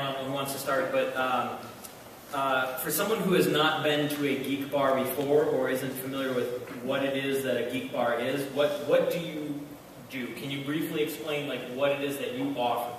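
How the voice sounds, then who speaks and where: A man from Wisconsin moderating a convention panel, talking in a halting way with many fillers and restarts. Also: medium pitch, fairly even intonation, slight background noise. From Wisconsin, USA. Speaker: panel moderator and bar owner. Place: large convention hall. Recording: echoey hall mic from far off.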